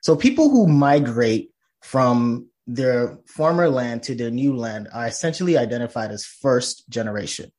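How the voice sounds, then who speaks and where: A man's voice: medium pitch, animated, normal speed, a little mellow. A man from Nigeria, a professor guest in a conversational interview, explaining earnestly with many fillers and restarts.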